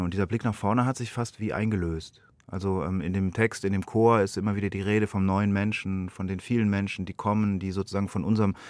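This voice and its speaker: A man with a fairly low voice talks as a guest in a radio interview. He is thoughtful and explanatory, often breaking off to rephrase. The studio sound is very dry. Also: dry recording. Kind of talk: reflective spoken interview.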